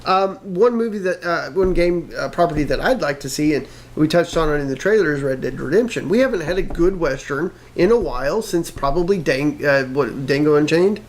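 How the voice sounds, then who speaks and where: A man co-hosting a casual discussion show, making his points in a halting way and repeating words. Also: on the high side, animated. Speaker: co-host of a pop culture discussion show. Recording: noticeable background noise and room ambience.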